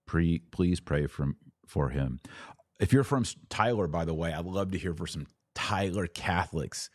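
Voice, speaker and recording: Deep-voiced man hosting a podcast. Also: normal speed, no room sound, studio quality. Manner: conversational, direct, urging listeners. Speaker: podcast host. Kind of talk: casual live commentary, reading and answering viewer comments.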